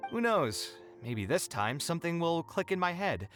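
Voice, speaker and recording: A man's voice: fairly high pitch, very animated, moderate pace, over music. A man playing an earnest, slightly wry young architect in an anime-style video game, speaking in an articulate, thoughtful, storytelling way. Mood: playfully exasperated. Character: earnest young male architect reminiscing, expressive, a little wry.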